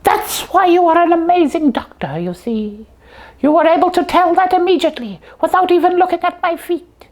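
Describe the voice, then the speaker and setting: A woman's voice: very high-pitched, expressive, normal speed, a little mellow. A woman voicing a proud, fussy puppet detective in a comic puppet show, bossy and self-important.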